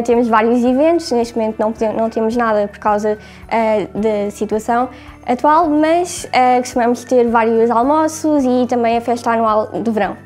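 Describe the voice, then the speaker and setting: A woman's voice: a little high, a little mellow. A nineteen-year-old woman with a European Portuguese accent, talking in a plain, matter-of-fact way. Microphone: lapel mic.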